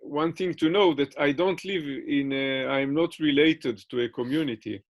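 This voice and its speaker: A man's voice: fairly high pitch, moderate pace, highs cut off. A man giving interview answers in English, which is not his first language, with many fillers and restarts.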